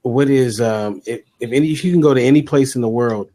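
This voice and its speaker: A man co-hosting an interview show, running a rapid-fire question round with quick acknowledgements and frequent laughs. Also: mid-range voice, warm tone. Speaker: show co-host.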